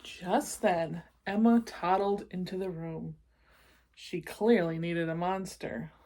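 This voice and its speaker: A woman's voice: fairly low pitch, fairly even intonation, takes it slowly, dark tone. A woman narrating a children's storybook expressively, breaking off to explain and check in with young listeners. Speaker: read-aloud storyteller for children.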